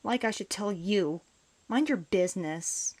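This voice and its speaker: A woman's voice: medium pitch, unhurried, a little mellow, lightly acted. A woman acting a bitter, despondent outcast in a comic dub, guarded, sullen and dismissive. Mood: curt, defiant.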